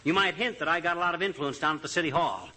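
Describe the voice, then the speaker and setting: An actor playing a blustering, cocky husband with flowery sarcastic wisecracks, in the manner of 1940s radio comedy. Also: male voice, on the high side, fast talker, bright, forceful tone. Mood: scheming, boastful. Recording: hissy old radio broadcast.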